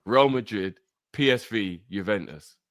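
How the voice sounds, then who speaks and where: A man's voice: fairly low pitch, unhurried, no echo. A man on a football podcast panel, arguing his picks emphatically and swearing casually.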